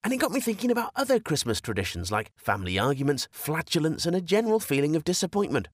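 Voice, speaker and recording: Bloke presenting a comic podcast, scripted and self mocking, very up and down delivery, dry sound. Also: male voice, on the high side, very animated, quick pace, no echo. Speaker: podcast host.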